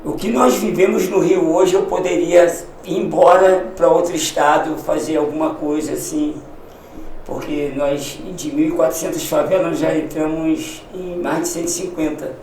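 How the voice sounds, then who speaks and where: A man, a missionary guest, speaking in casual conversation, halting and emotional, often restarting his sentences. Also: a little high, fairly even intonation, a little mellow, distant mic, a little hiss or hum. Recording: echoey room, somewhat off-mic.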